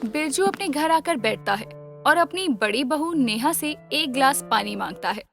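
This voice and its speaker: High-voiced woman narrating a story. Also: animated, moderate pace, creaky, a bit bright, faint music behind.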